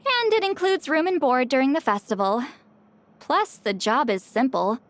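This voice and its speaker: High-voiced, laid-back game character. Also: female voice, moderate pace. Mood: casual, defensive. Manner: casual, animated, chatty. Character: laid-back, quirky woman working an easygoing front-desk job. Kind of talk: video game voice acting.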